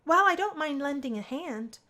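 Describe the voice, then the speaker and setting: Visual novel lead: earnest female student voiced by a woman, courteous, slightly bashful, higher register. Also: lively intonation, moderate pace, pushed and bright. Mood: helpful. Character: earnest, helpful, bookish young female college student. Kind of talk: visual novel first-person character.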